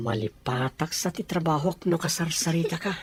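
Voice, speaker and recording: A man's voice: on the high side, breathy edge. A man with an Ilocano accent playing a loving young fiancé who talks in an easygoing, tender way.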